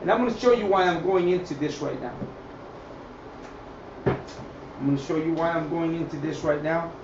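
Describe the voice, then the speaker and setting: A man's voice: on the high side, normal speed. A man giving an improvised scripture and history lesson, emphatic, repeating phrases and checking in with listeners. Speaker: teacher of a religious group. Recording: echoey, distant mic, dull, faint noise.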